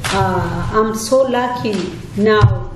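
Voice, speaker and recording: A middle-aged woman, a panelist giving a slow, halting personal testimony and partly reading from notes. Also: mid-range voice. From Eritrea, raised in Ethiopia. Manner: halting, deliberate, with many pauses. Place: church hall. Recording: echoey hall from a distant mic, noisy.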